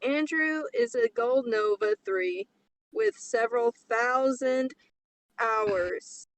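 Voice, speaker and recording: A woman's voice: fairly high pitch, slow pace, no room sound, poor audio. A woman co-hosting a casual group session of chat and reading stories aloud. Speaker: co-host.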